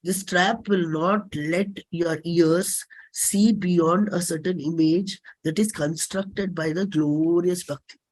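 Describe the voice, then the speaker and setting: A woman's voice: lowish voice, steady tone, slow pace, darkish tone, low-quality recording. Indian-accented woman giving a dramatic reading. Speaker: classical singer and stage performer. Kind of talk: dramatic reading of an autobiographical stage script.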